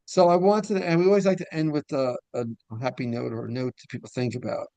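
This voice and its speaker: A man's voice: medium pitch, expressive, fast talker, vocal fry, dark tone, no echo. A man hosting a casual interview, talking in a rambling way that circles back mid-sentence. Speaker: podcast host interviewer.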